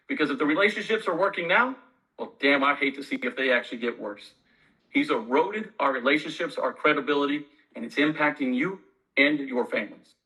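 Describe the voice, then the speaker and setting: A man with a bright, hard-edged voice delivers a campaign appeal to union members. He sits at a middle pitch and speaks emphatically, with urgency, as a candidate for leadership. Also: low-quality recording.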